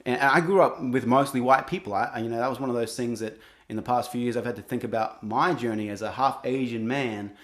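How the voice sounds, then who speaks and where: Man, Australian accent, young adult, earnest, halting, mid pitch. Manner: earnest, halting, many restarts. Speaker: guest, singer-songwriter. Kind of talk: reflective interview talk.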